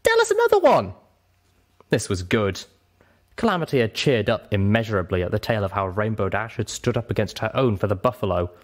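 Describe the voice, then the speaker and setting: A man narrating a story as an audiobook reader, in an expressive scripted style. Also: on the low side, a little fast.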